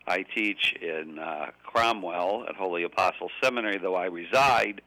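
An American man in his seventies or older speaks as an interview guest. His voice is fairly low with a bright, forceful edge, recorded dry. He talks plainly and conversationally, like a seasoned priest and teacher. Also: moderate pace, dry recording, poor audio. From United States. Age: 70s or older.

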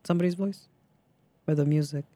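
A woman's voice: low-pitched, fairly even intonation, brisk, mellow, no room sound. A woman who co-hosts the radio show and sings in church, chiming in to agree with the others. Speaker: radio co-host and church singer. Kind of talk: casual on-air chat.

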